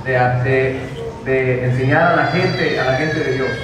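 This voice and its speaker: A man's voice: mid-range voice, steady tone, unhurried. A man interpreting a sermon, earnest, with restarts. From Mexico. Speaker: interpreter and minister. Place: large dim auditorium. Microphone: handheld mic, far camera. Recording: echoey hall from far off, noisy.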